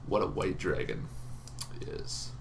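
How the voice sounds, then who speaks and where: A man hosting a tabletop gaming channel, explaining casually off the cuff in an informal, halting way, as if thinking aloud. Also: extremely low pitch, big pitch swings, vocal fry. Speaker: host of a tabletop roleplaying lore channel. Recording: noticeable background noise.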